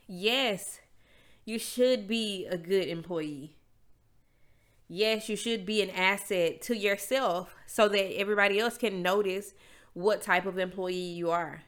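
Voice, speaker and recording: A woman's voice: medium pitch, takes it slowly, a bit bright. A young adult woman with a stuffy, congested nose, hosting her own podcast in a candid, emphatic, motivational monologue. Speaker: podcast host.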